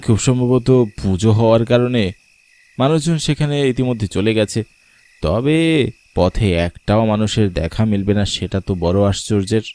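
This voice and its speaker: A man playing an uneasy, frightened father who narrates his own horror story dramatically, halting with fearful stammers. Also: on the low side, dark tone, dry recording, close to an everyday voice. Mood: uneasy, puzzled.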